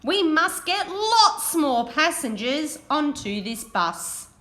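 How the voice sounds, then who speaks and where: A woman's voice: high-pitched, big pitch swings, slow, pushed and bright, voice pushed up, heavily acted. A woman voicing a bossy, impatient female bus driver in a children's storybook. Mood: exasperated, commanding.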